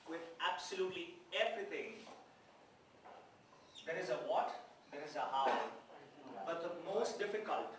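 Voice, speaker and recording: Man lecturing, questioning the audience, slightly high voice, distant mic, very echoey hall. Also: fairly bright tone, a little hiss or hum. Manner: asks the audience questions, repeats key words. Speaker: guest speaker, professor. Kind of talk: lecture to students.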